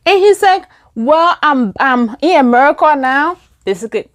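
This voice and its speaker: Young Liberian-accented woman vlogger, casual storytime, fairly high voice, animated delivery. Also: a little slow. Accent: Liberian English. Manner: animated, acts out quoted lines in a higher voice. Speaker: beauty vlogger. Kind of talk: casual storytime while doing makeup.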